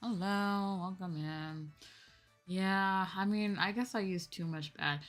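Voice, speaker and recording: A woman's voice: medium pitch, steady tone, slow, a bit bright, faint music behind. A young woman streamer chatting casually and informally with her viewers on a livestream. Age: young adult.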